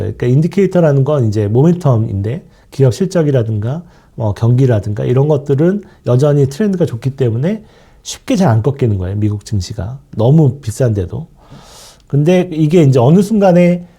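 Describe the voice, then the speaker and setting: A man's voice: mid-range voice, mellow. A man with a sore throat from a cold, giving an explanatory lecture on the market outlook as a fund manager, with frequent fillers and trailing asides.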